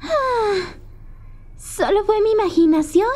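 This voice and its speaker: A woman voicing an eager, excitable first-year high school girl in a fan dub. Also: slow pace, noisy background, exaggerated voice. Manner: eager, squealing. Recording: faint anime music behind.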